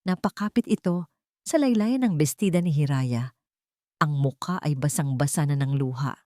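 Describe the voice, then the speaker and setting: Low-voiced Filipino woman narrating, dry close sound. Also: normal speed, mellow, no echo. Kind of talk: dramatic scripted story narration.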